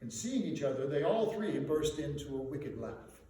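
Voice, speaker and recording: A man giving a theatrical, deliberately phrased dramatic reading of a literary story. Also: a little high, expressive, warm tone. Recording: very echoey room, distant mic, faint noise.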